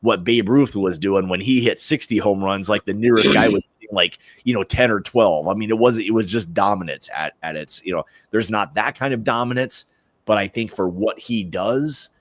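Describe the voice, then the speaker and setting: Low-voiced American man in casual sports chat. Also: moderate pace, no room sound. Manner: informal, opinionated, blokey slang. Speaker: host of a sports prediction livestream.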